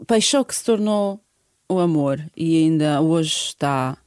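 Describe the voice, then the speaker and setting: A woman's voice: low voice, lively intonation, measured pace, a little mellow, no echo, studio quality. A middle-aged woman from Bosnia, speaking a learned earnestly and searching for words in a radio interview. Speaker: guest singer.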